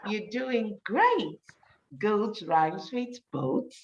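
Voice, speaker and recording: A woman teacher reading a rhyming story for children, with an encouraging manner and deliberate, emphatic delivery. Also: medium pitch, sing-song, recorded from a distance, highs cut off. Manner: encouraging, praising, addressing children directly.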